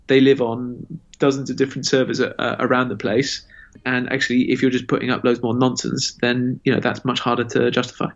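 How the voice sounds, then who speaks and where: A man's voice: mid-range voice, flat delivery, fairly quick. Chatty man on slightly dull audio. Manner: chatty, self-deprecating, fillers like "you know" and "kind of". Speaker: magazine publisher guest. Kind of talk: casual interview answers.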